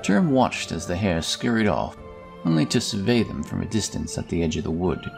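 Male fantasy narrator: very low, creaky voice, expressive storytelling over music. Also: a little fast.

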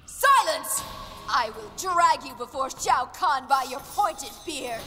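A haughty young warrior princess speaks in a high, bright, forceful voice. Her scornful game dialogue rings with long reverb. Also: lively intonation, slow pace, exaggerated voice. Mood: fierce, commanding. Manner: haughty, formal. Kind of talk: video game cutscene acting. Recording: long reverb with music behind.

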